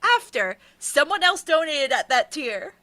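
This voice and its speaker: A woman's voice: high-pitched, sing-song, a little slow, pushed and bright, no room sound. A woman speaking as an interviewed author in casual, chatty talk, candid and jokey with a self-deprecating streak. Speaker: author being interviewed about a crowdfunded book.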